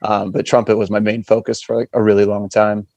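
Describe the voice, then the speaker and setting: A man's voice: fairly low pitch, monotone, fairly quick, creaky, darkish tone, dry recording. An American man from western Massachusetts chatting casually as a podcast guest. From western Massachusetts, USA. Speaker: podcast guest, punk band musician. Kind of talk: casual chat.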